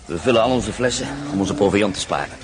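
A calm, practical escaped prisoner of war in a radio play, played by an actor. His voice is low and even, with faint noise behind. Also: quick pace, natural voice. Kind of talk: radio drama acting.

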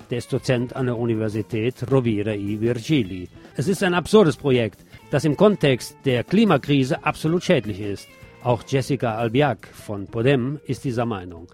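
A man's voice: medium pitch, darkish tone. A man presenting radio news, reading scripted reports and adding brief asides of his own.

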